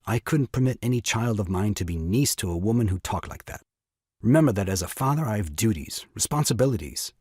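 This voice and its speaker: A man's voice: on the low side, expressive, fairly quick, a little mellow, husky, no room sound, clean studio sound. An actor playing a self-pitying, exasperated new father in an audio farce, with grandiloquent, melodramatic delivery. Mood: indignant. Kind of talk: theatrical audio drama farce acting.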